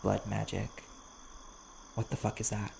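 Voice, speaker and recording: A man voicing a wary, desperate vampire bounty hunter in an audio roleplay, blunt and guarded, with a gravelly voice. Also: fairly low pitch, monotone, a little slow. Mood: exasperated. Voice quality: gravelly, creaky. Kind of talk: ASMR audio roleplay acting. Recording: clear background noise, chirping crickets.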